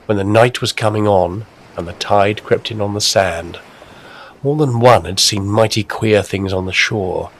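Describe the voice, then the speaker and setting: A man's voice: on the low side, measured pace, fairly bright tone. A man narrating a scripted, spooky Victorian tale of the coast. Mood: storytelling. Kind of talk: scripted ghost-story narration.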